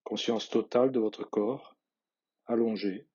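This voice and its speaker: A man leads a guided relaxation meditation in a fairly low, husky voice. He gives measured, simple instructions and lets his phrases fall away softly. The recording sounds slightly dull and lo-fi. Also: steady tone. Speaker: yoga nidra guide.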